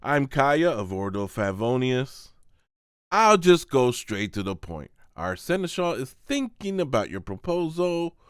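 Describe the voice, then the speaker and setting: A man voicing a suave, sly, easygoing knight in a fantasy manga dub, polite and smooth-talking. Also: mid-range voice, sing-song, measured pace, no room sound, clean studio sound, pitched above the performer's own voice. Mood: sly charm. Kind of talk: dramatic manga voice-over.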